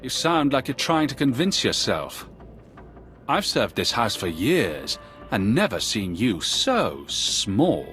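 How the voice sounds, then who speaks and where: A man's voice: medium pitch, sing-song, slow, fairly bright tone, soft background music. A man playing a loyal, confident Sith warrior in a video game, with a grave, assured delivery. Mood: taunting. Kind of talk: dramatic video game acting.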